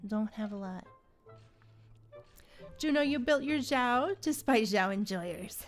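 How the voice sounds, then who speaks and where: A woman's voice: a little high, lively intonation, slow pace. Chatty woman streamer with music behind. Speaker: livestream host. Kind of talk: casual gaming livestream chat with viewers.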